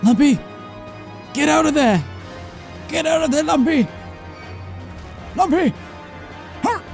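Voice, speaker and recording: A man doing excited live game commentary as a streamer, exclamatory, repeating himself and swearing casually. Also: very high voice, takes it slowly, creaky, faint music behind. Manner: exclamatory, repeats words and phrases.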